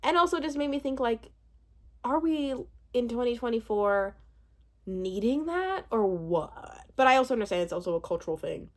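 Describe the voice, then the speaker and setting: Very animated young woman chatting casually. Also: on the high side, moderate pace. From born in Uganda, spent part of childhood there. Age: twenties. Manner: animated, rambling, breaking off mid-thought. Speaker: YouTube host reviewing a reality show. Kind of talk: casual chatty recap commentary.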